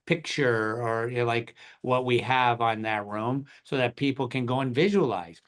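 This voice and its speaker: A man's voice: medium pitch, fairly even intonation, normal speed. A man leading an online session, talking casually and affirming others. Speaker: session organizer. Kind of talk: casual meeting chat.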